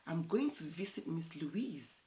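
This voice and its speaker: A woman's voice: deep voice, very animated, a little slow, dark tone. A woman voicing a poor but confident male suitor in a storybook folktale, keen and direct. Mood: eager, asking.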